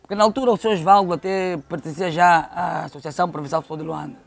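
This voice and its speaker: Middle-aged man, high-pitched voice, Angolan accent, conversational storytelling, repeated phrases. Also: fairly even intonation, racing, vocal fry. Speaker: interview guest, local football official.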